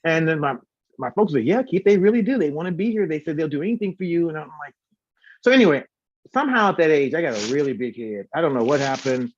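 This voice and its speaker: Guy with a higher voice chatting casually, acts out quotes, muffled lo-fi call. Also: quick pace, no echo. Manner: animated, acts out quoted dialogue. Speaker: interview guest; singer and former radio host. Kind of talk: casual storytelling.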